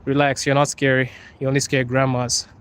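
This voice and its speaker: A man's voice: medium pitch, monotone, brisk, smooth. A young man from Zimbabwe live-streaming from his phone on a wet street at night, chatting casually, laughing often and teasing his viewers. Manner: laid-back, laughs often, playful teasing. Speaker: livestream host. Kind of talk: casual live-stream chat, reading comments. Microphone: phone held while walking.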